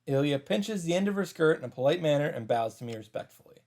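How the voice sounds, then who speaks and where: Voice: mid-range voice, lively intonation, fast talker, a little mellow. A commentator reading a visual novel story aloud and reacting to it. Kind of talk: narrated visual novel read-through with reactions. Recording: music under the voice. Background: game music.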